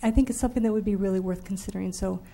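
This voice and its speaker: A woman's voice: medium pitch, very fast, creaky, mellow, slight background noise. A local woman resident giving an earnest, hesitant public comment at a council meeting. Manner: earnest and hesitant, with repeated ums. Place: council chamber.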